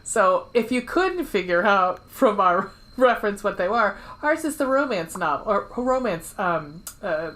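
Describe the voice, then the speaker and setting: A woman's voice: mid-range voice, animated, measured pace, few pauses, a bit bright, rough recording. A woman co-hosting a book club podcast in casual chat, with a jokey, informal, sweary way of talking.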